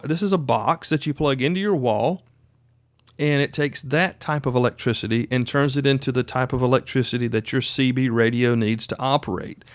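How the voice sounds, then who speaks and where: A man's voice: mid-range voice, clean tone, no room sound. A man hosting a podcast, giving casual instructional talk in a folksy, plainspoken, conversational way. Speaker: podcast host.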